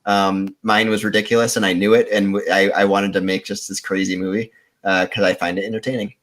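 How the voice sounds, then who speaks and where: A man's voice: lowish voice, flat delivery, brisk, a bit bright. A man chatting casually as a contestant on a podcast. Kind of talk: casual chat.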